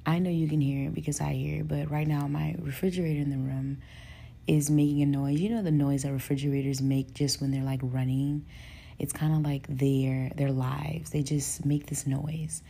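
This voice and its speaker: A young woman hosting her podcast from a hotel room, hoarse with a soft raspy voice, chatting casually and laughing now and then. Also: low-pitched, normal speed. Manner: relaxed and chatty, laughs often, slangy asides. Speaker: podcast host. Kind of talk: casual solo podcast chat.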